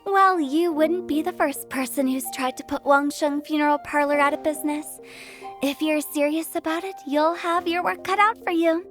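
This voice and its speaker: A woman's voice: high-pitched, moderate pace, soft background music, natural voice. A woman voicing a mischievous young funeral parlor director who talks in a playful, theatrical, teasing way in a video game. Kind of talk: game voice acting.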